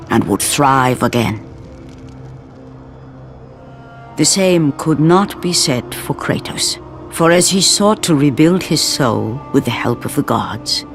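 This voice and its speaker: A woman playing a grave narrator of an ancient myth, telling it in solemn, measured phrases for a video game. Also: very deep voice, heavily acted. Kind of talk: dramatic epic scripted narration. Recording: music underneath.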